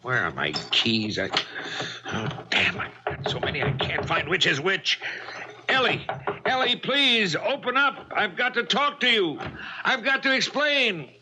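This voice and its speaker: An actor with an American accent playing a frantic, stammering two-timing husband in a 1970s radio drama. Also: male voice, high-pitched, sing-song, a little slow, noisy background. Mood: desperate, calling out. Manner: agitated, stammering.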